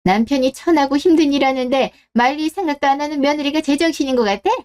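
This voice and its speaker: High-voiced, scolding woman as a domineering mother-in-law. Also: fast talker, darkish tone, natural voice. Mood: furious, scornful. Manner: scolding, accusatory. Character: domineering, scornful middle-aged mother-in-law, fiercely possessive of son. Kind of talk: scripted Korean online radio drama.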